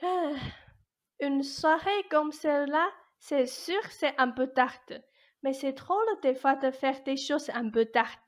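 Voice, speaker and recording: A woman's voice: sits high, steady tone, slow. A woman acting as a jaded, ancient immortal fairy godmother in a radio play, blunt, colloquial and mischievous. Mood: coaxing. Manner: blunt, colloquial, world-weary. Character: jaded 874-year-old immortal fairy godmother, grumbling but mischievous.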